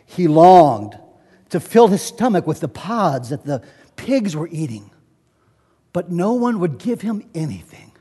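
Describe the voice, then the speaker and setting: A man giving a dramatic, deliberate scripture reading with theatrical pauses in a church. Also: high voice, big pitch swings, mellow, husky. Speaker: scripture reader. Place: church, live service. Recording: echoey church hall, long reverb.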